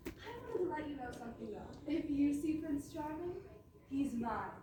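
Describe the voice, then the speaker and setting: A woman's voice: high voice, normal speed, dark tone, close to an everyday voice. A woman playing a sugary, possessive young princess with a menacing edge, gushing theatrically in a comic stage play. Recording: very echoey hall, far mic, noisy.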